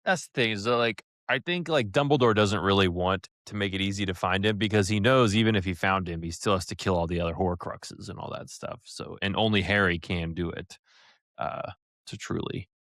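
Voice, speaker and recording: A man's voice: low voice, a little fast, fairly bright tone, dry recording, clean studio sound. A man co-hosting a casual fan podcast, laid-back and joking, with lots of "like". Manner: laid-back, fills with "like" and jokes. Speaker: podcast co-host.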